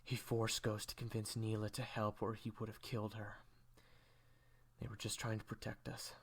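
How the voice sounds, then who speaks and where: A man's voice: lowish voice, monotone, normal speed, breathy edge. A man playing a weary, forgiving young man in an audio drama, speaking in terse, casual, reassuring phrases. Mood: grim. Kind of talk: audio roleplay drama acting.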